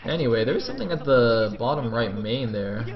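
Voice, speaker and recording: A man's voice: medium pitch, very animated, a little fast. A man giving live play-by-play commentary on a strategy game, casually thinking aloud as he goes. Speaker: esports commentator. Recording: dull lo-fi audio over crowd noise.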